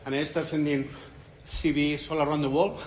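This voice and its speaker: Spanish-accented male researcher in his 40s, slightly raised pitch, echoey room. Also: little pitch movement, normal speed, slight background noise. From Spain. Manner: thoughtful, restarts phrases, laughs lightly. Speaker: interviewed researcher. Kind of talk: conference interview. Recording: echoey room with light ambience.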